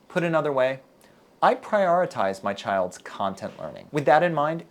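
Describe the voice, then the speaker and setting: Texan guy lecturing to camera, earnest and measured, pitch swings up and down a lot. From Texas. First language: English. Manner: measured, earnest, deliberate delivery. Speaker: university lecturer. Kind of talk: scripted video lecture to camera.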